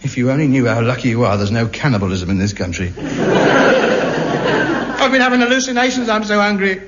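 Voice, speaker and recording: A man's voice: normal speed, close to an everyday voice. An actor with a British accent playing a pompous, easily outraged man in a 1950s radio sitcom. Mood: self-pitying. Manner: pompous, indignant, theatrical swings in pitch. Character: pompous hungry self-important man, indignant. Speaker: lead comic actor. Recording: muffled hissy 1950s radio broadcast.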